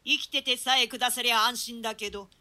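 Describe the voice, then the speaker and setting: A woman's voice: on the high side, fairly even intonation, a little fast, bright, forceful tone. A woman performing a dignified, melancholy young prince in a theatrical Shakespeare reading. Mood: earnest, uneasy. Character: dignified, melancholy boy heir to the throne. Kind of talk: theatrical Shakespeare reading in archaic Japanese translation.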